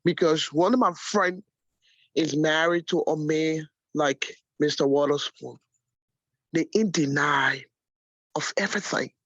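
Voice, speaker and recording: A woman's voice: low voice, measured pace, no echo. A woman phoning in to give casual, opinionated commentary. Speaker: caller. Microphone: phone. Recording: muffled, band-limited phone call.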